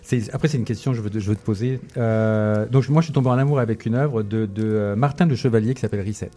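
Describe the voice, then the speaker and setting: A man interviewing a guest in a relaxed, casual, enthusiastic way. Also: on the low side, fast. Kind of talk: casual conversation.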